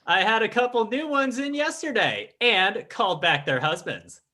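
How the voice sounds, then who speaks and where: An actor playing a sly, imperious fake psychic and crime boss in an old-time radio drama, speaking in a pompous, formal, commanding way. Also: male voice, sits high, big pitch swings, normal speed, sharp tone, full character voice. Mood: pleased, scheming.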